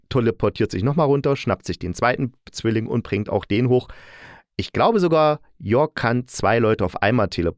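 A man's voice: medium pitch, dry recording, studio quality. A man hosting a casual spoken review, talking in an opinionated, nitpicking, colloquial way. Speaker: audio drama reviewer and host.

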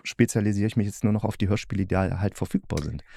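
A man's voice: fairly low pitch, fast talker, vocal fry, mellow, dry recording, clean studio sound. A man hosting a podcast, chatting casually and thinking aloud in loose, colloquial sentences. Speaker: podcast host reviewing radio plays. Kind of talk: casual interview chat.

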